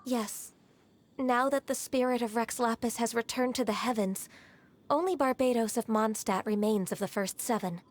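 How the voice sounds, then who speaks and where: A woman playing a gentle, dutiful half-human adeptus secretary in a video game, with polite, formal, sometimes hesitant speech. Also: on the high side, normal speed, close to an everyday voice. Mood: solemn.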